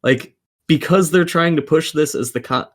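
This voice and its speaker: A man's voice: on the high side, normal speed. A man co-hosting a casual gaming podcast, explaining his opinions at length and swearing casually. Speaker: podcast co-host.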